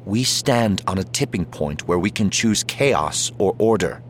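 Guy doing a calm jedi commander, low voice, controlled and persuasive, determined, audiobook style. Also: unhurried, a little hiss or hum. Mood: calm resolve.

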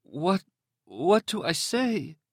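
A man's voice: high voice, big pitch swings, slow, no room sound, clean studio sound, pitched above the performer's own voice, heavily acted. A man voicing a terrified, wounded woman who pleads in panicked shrieks. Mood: frightened.

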